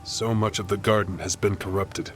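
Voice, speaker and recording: An actor playing a bluff, frustrated warrior hero in a video game cutscene, emphatic and earnest. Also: male voice, fairly low pitch, steady tone, normal speed, fairly bright tone, soft background music, natural voice. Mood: concerned.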